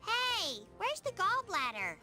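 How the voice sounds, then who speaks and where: A woman's voice: very high voice, wildly swooping pitch, takes it slowly, bright, forceful tone, faint music behind, heavily acted. A woman voicing a precocious, earnest schoolgirl in a cartoon game. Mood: matter-of-fact.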